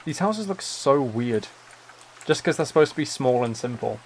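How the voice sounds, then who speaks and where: Gravelly man musing on a game livestream. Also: mid-range voice, lively intonation, moderate pace, a little hiss or hum. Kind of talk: relaxed chat while playing a building game.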